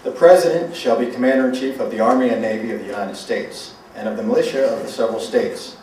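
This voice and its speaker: A man reads formally in a medium-pitched voice at a public event. The sound is very echoey, with faint background noise. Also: fast, a little mellow, recorded from a distance. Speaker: invited guest reader, navy officer. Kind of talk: formal public reading of a legal document.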